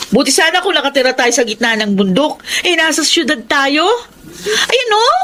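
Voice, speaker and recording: A woman's voice: sits high, sing-song, moderate pace, sharp tone, heavily acted. An actress playing an exasperated young adult daughter who scolds her quarreling parents. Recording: clear background hiss.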